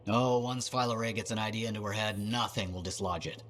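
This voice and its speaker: A man playing an eager, bookish inventor in a video game, with an expressive and enthusiastic delivery. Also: on the low side, fairly quick. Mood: fond. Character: eager scholarly male inventor, former street-kid prodigy. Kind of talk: video game dialogue acting.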